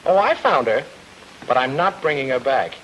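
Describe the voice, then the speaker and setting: An actor playing a breezy, unflappable detective and newlywed with flippant, slangy banter in an old-time radio comedy-mystery. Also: male voice, medium pitch, very animated, moderate pace, fairly bright tone, dull audio. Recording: noisy, clicky vintage radio recording.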